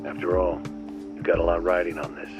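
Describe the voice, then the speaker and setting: Deep-voiced, coolly menacing handler played by a man. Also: flat delivery, dull audio, over music, lightly acted. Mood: cool, threatening. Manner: stern, condescending, deliberate. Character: controlling, coolly menacing male intelligence handler. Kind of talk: dramatic game cutscene acting.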